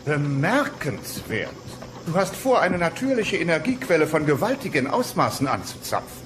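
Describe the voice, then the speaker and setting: Dinosaur schoolteacher: hesitant, easily impressed, lively intonation, comedy audio play with slight background noise. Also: male voice, fairly high pitch, moderate pace. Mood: awed. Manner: hesitant, grandly admiring. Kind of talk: dubbed comedy radio play acting.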